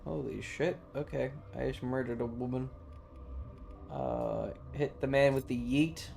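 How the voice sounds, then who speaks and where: A man's voice: mid-range voice, lively intonation, a little slow, creaky, over music. A man livestreaming a video game with casual, slangy, swearing chatter and jokey commentary. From Southern United States.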